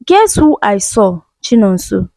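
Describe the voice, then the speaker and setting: Woman voicing an insistent jilted man, slow and expressive. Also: mid-range voice, vocal fry, dry recording. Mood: upset. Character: jilted young man, pleading, obsessive and angry.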